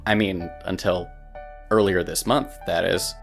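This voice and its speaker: A man's voice: deep voice, steady tone, unhurried, gravelly, soft background music. Man playing a theatrical, chatty hotel clerk. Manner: wordy, dramatic, chatty. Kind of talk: visual novel voice acting.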